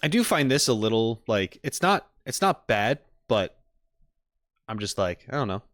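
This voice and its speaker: A male streamer talks casually to his chat in a mid-pitched voice, with faint music behind him. He rambles through his thoughts in a tentative way, often hedging and qualifying what he thinks. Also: sing-song, moderate pace, fairly bright tone, no room sound, studio quality. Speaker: streamer reacting with live chat.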